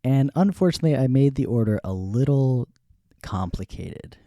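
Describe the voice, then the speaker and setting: A young man hosting a podcast, telling a story in a relaxed, rambling way with frequent laughs. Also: mid-range voice, normal speed, no room sound, studio quality. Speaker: podcast host. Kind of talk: casual storytelling.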